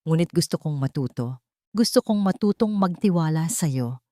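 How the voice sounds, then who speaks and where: A woman voicing a proud, guarded male billionaire sheikh in a romance story, speaking deliberately. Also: deep voice, expressive, mellow, dry recording, clean studio sound. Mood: earnest, remorseful.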